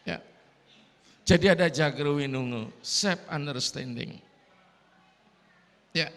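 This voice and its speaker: Sulawesi-accented priest man, slow bright sermon voice, long hall echo. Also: fairly high pitch. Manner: lively preaching mixed with English phrases. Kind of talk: dharma talk sermon. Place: large hall at a temple gathering.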